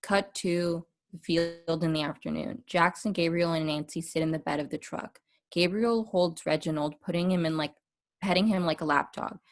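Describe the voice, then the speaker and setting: Narrator: woman, low-pitched, reading scripted stage directions. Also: fairly even intonation, moderate pace.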